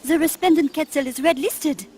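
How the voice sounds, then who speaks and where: A woman's voice: sits high, normal speed, darkish tone, slight background noise, natural voice. An actress playing an earnest, plaintive French woman, a stranded birdwatcher, with a French accent in a video game cutscene. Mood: composed. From France. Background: music.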